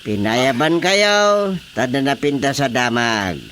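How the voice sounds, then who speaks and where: A man's voice: medium pitch, very animated, slow pace, a bit bright, slight background noise. A man with an Ilocano accent playing a village chairman who asks questions in a radio drama comedy. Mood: reassuring, firm. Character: male village chairman questioning witnesses about thefts.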